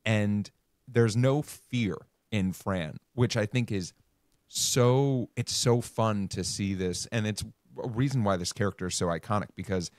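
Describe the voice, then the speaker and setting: A man's voice: on the low side, measured pace, a little mellow, no room sound, clean studio sound. A man co-hosting a podcast in casual, conversational discussion of television. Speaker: podcast co-host.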